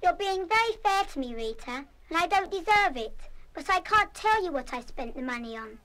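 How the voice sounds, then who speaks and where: A young schoolgirl in a radio play. Her voice is very high and bright, and she speaks with earnest, wholehearted feeling, defiant yet kind-hearted and quick to show remorse. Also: very animated, no echo, exaggerated voice. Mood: pleading, remorseful. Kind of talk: full-cast audio radio play acting.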